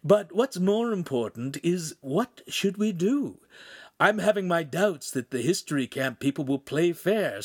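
A man's voice: a little high, no echo, studio quality, full character voice. A man voicing a nervous, quirky shape-shifting imp who explains things earnestly in a storybook reading.

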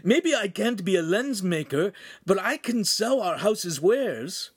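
A man's voice: high-pitched, sing-song, slow pace, slightly rough, no room sound, studio quality, pitched above the performer's own voice, full character voice. A man voicing a humble, eager reformed street youth in an audiobook, pleading and deferential.

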